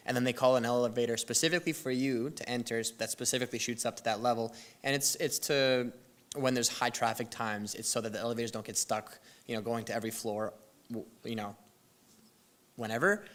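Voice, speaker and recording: A man's voice: mid-range voice, fairly even intonation, brisk, fairly bright tone. A young man casually telling a church youth audience about a trip, rambling and breaking off mid-sentence with lots of fillers. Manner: rambling, self-interrupting storytelling with fillers. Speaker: youth group presenter. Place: church hall.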